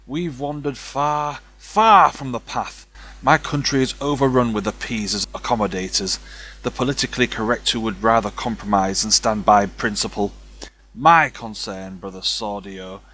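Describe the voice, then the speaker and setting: An actor playing a stern, suspicious hard-line bishop, theatrical, emphatic and sardonic in an audio drama. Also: male voice, medium pitch, bright, forceful tone, lightly acted, rough recording.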